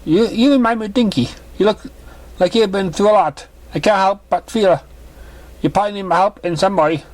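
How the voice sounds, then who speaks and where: A motherly winged pony from a cartoon story, acted out by a man, raised well above his natural pitch. The mare is caring and reassuring, gentle yet firm with her words. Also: brisk, lightly acted. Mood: concerned.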